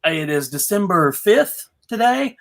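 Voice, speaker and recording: A woman's voice: on the low side, big pitch swings, a little slow, creaky, fairly bright tone. A middle-aged woman moderating a casual panel discussion, talking in a chatty, informal way. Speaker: panel host.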